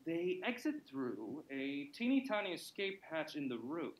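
A man's voice: on the high side, big pitch swings, measured pace, echoey room, rough recording. A man acting a glib, playful, reassuring character named Grant in a theatrical old-time radio-style drama, with wry banter.

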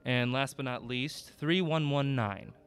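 A man playing a mild, curious young sidekick in an audio drama, gently wry and a little hesitant. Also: medium pitch, measured pace, slight background noise, close to an everyday voice. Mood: inquisitive.

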